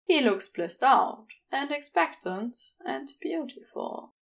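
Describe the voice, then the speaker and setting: A woman narrating a scripted story as an audiobook reader, in a measured delivery. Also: sits high, expressive, creaky. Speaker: audiobook reader of fan fiction.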